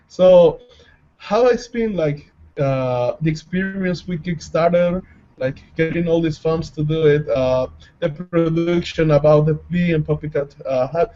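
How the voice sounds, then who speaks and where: A man from El Salvador, interviewing a guest and often pausing to search for words. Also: fairly high pitch, mellow. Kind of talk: interview questions. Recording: slightly dull lo-fi recording.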